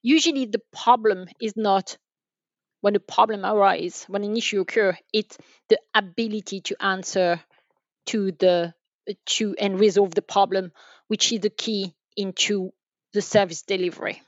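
A woman's voice: medium pitch, steady tone, moderate pace, no room sound, lo-fi sound. A woman speaking as an interview guest, explaining from experience in a wordy, emphatic way with repeated restarts. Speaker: guest, enterprise sales manager.

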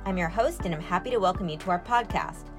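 A woman's voice: lowish voice, fast, faint music behind. A woman speaking as a podcast host, introducing and interviewing a guest in a prepared style.

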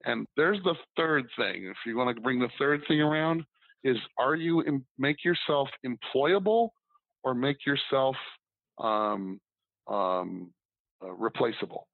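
A man's voice: medium pitch, animated, a little slow, creaky, dry recording. A man who is a guest on a business podcast, rambling casually with lots of ums and restarts. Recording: dry tinny phone-like line.

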